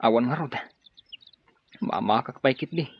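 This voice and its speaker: A man's voice: medium pitch, unhurried, natural voice. A man playing a resourceful castaway in a radio drama, reassuring his companion in a matter-of-fact way. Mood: practical. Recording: dull audio with faint ambient noise.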